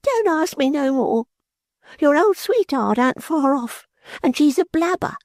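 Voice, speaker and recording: A man's voice: very high-pitched, sing-song, a little slow, no room sound, clean studio sound, voice pushed up, heavily acted. A man reading an audiobook, voicing a frightened, superstitious servant woman with rustic, ungrammatical speech. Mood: anxious. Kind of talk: audiobook character voice.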